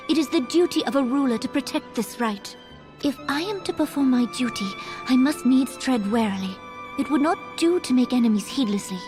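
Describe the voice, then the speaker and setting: An actress playing a small, earnest young female ruler in a fantasy game, speaking in measured, formal phrases. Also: high-pitched, natural voice. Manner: regal, measured, archaic phrasing.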